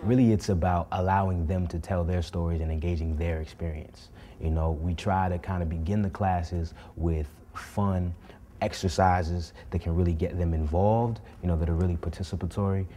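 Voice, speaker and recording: A man explaining his teaching approach in an interview in a school theater, calm and measured. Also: deep voice, a little hiss or hum.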